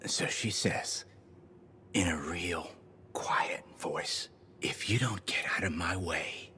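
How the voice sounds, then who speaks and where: A man's voice: sits low, takes it slowly, sharp tone, whispered, full character voice. An actor playing a clueless, over-friendly, shameless man in a video game, rambling casually and sure of himself. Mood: boastful, storytelling. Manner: rambling, casual, self-assured. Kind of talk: video game character dialogue.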